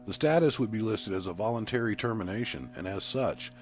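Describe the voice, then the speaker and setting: A man reading his own story aloud as a narrator, in a steady, even tone. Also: on the low side, fairly quick, faint music behind.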